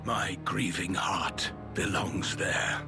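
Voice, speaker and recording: Weary imperial guard: low-pitched, grave and solemn cutscene delivery over clear music. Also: male voice, takes it slowly. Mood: mournful. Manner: grim, solemn, declarative. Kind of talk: video game cutscene acting. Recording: music behind the voice.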